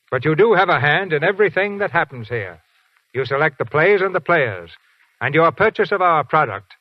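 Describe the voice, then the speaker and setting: A man's voice: fairly high pitch, no room sound, lo-fi sound. A man hosting and narrating a radio theater broadcast in a polished, stately 1940s announcing style.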